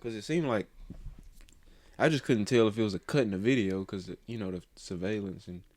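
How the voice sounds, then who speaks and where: A man's voice: lowish voice, fairly quick, darkish tone, no echo. A man in his twenties hosting a casual podcast chat, rambling and swearing freely with lots of fillers and restarts. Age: 20s. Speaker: podcast host.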